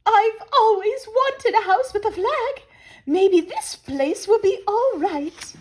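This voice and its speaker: A woman's voice: very high-pitched, animated, slow, a little mellow, voice pushed up, exaggerated voice. A woman voicing a bossy, discontented female bird from a storybook, with an emphatic way of talking. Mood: pleased, eager. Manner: complaining, emphatic. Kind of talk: storybook character voice.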